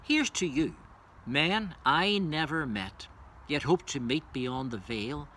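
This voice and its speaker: A man speaking as a poetry reader, introducing and reciting verse in a measured way. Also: a little high, expressive, pushed and bright, a little hiss or hum.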